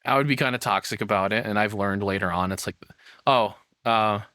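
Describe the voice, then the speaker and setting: A man's voice: lowish voice, a little fast, pushed and bright, no echo, clean studio sound. A man giving casual reaction commentary as a content creator, rambling and frank, with casual swearing.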